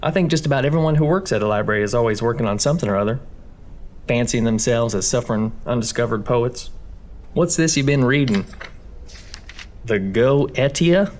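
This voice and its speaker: Nosy, talkative librarian with an ordinary mid-pitched voice, played by a man, polite to a fault. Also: fairly quick, a little mellow, slight background noise, lightly acted. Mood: friendly nosy. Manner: chatty, overly polite. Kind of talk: horror audio drama acting.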